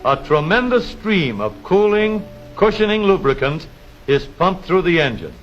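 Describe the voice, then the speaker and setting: A man narrating a scripted 1930s educational film in a measured, formal announcer's delivery with precise, explanatory phrasing. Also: high voice, big pitch swings. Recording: dull vintage 1930s film soundtrack, music behind.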